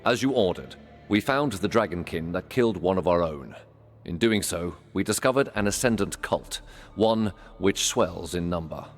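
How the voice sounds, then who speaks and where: An actor playing a grave, dutiful dragon hunter in a fantasy game, formal and measured. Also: male voice, sits low, animated, faint music behind. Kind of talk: dramatic fantasy game acting.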